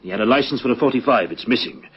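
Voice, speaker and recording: Radio-drama sergeant: blunt, accusing, husky mid-pitched voice. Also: male voice. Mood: stern, accusing. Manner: blunt, deliberate. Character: stern, accusing male police sergeant. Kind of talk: old radio crime drama acting. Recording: muffled vintage radio recording.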